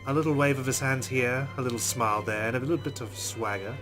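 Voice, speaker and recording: A man's voice: mid-range voice, quick pace, over music. A man playing a visual novel, reading its first-person narration dramatically with reactions.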